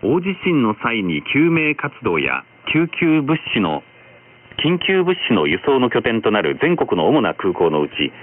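Male radio news announcer, formal scripted read, very lively intonation, thin shortwave sound with static. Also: mid-range voice. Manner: formal, measured delivery. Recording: noisy, narrow-band shortwave radio reception. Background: radio static and noise.

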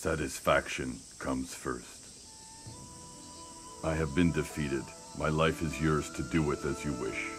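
An actor playing a gruff, stoic old samurai warlord in a video game, speaking slowly and gravely. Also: male voice, extremely low pitch, fairly even intonation, faint music behind. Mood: solemn, resigned. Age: older. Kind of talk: dramatic video game cutscene acting.

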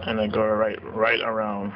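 A man slowly walking through a hands-on circuit board repair at his workbench, explaining each step plainly. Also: on the low side, monotone. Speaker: radio repair technician. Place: workbench, indoors. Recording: dull lo-fi camera audio with noise.

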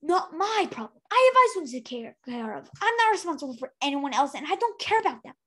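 A proud young dragon queen performed by a woman reading a storybook aloud, high-pitched and indignant. She snaps her words out with exasperated, defensive emphasis. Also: very animated, brisk, pushed and bright, full character voice. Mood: dismissive, scornful.

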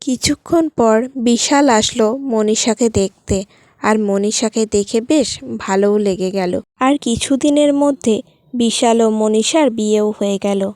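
A woman's voice: a little high, moderate pace, a little mellow, no room sound, studio quality. A woman narrating a scripted cartoon story.

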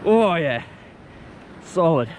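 A man filming himself fishing from an ocean rock ledge on an action camera, excitedly calling out instructions over wind and waves. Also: fairly high pitch, slow pace, low-quality recording. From Australia. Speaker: fishing vlogger. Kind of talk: excited outdoor commentary. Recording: loud wind and surf noise.

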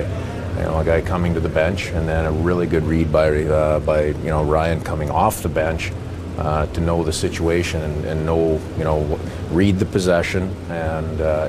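Very deep, flat-voiced coach with noisy room. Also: male voice. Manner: halting, many fillers and restarts. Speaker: hockey head coach. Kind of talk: postgame press conference. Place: arena press backdrop, indoors. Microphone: handheld reporter mics held close.

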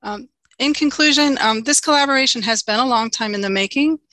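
A woman giving a conference talk over a video call, earnest and steady with frequent ums, now and then asking for the next slide. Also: sits high, a little fast, no echo. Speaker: conference presenter. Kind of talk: virtual conference presentation.

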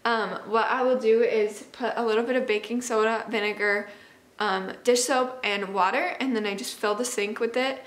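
A woman's voice: fairly high pitch, steady tone, normal speed. A woman chats casually to camera as a homemaking vlogger, informal, rambling and self-deprecating. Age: 20s. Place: home kitchen, indoors. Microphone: camera microphone, about arm's length or further. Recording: echoey open kitchen room.

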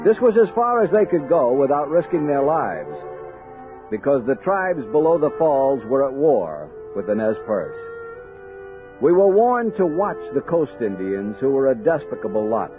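An actor playing a thoughtful, commanding American army captain in a 1960s radio drama, measured and authoritative. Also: male voice, medium pitch, very animated, natural voice. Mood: grave narration. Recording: dull vintage radio recording with music.

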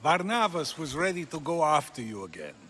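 Higher-voiced thoughtful philosopher. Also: male voice, big pitch swings, fairly quick, fairly bright tone, slight background noise. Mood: welcoming. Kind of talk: video game cutscene acting.

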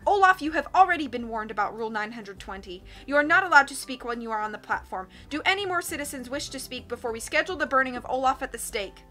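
A woman's voice: high voice, expressive, fast, few pauses, bright, forceful tone, faint music behind. A woman voicing a pompous, officious town elder who gives commands and recites rules, in a storybook reading. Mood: commanding.

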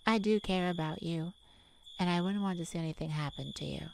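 A woman voicing a playful, flirtatious immortal vampire in an intimate ASMR roleplay, teasing and dramatic with lingering pauses. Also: fairly low pitch, vocal fry, noisy background. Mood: serious, wistful.

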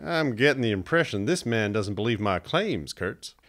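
An actor playing a huge, boastful hunter and trapper in a radio drama. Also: male voice, lowish voice, animated, moderate pace, fairly bright tone. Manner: boastful, bragging.

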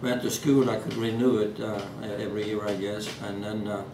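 Old man, Norwegian accent, low-pitched voice, halting with restarts, roomy home recording, hiss behind. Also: little pitch movement. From Norway. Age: 80s. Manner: halting, many fillers and restarts. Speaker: interviewee. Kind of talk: oral history interview. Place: living room at home. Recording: echoey room, distant mic, background hiss.

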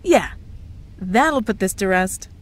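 A woman's voice: medium pitch, animated, takes it slowly. A woman playing a cheerful, evasive young girlfriend who is secretly a witch, in a playful ASMR roleplay with feigned innocence. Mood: flustered denial. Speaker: audio roleplay voice actress. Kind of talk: ASMR roleplay, scripted one-sided dialogue.

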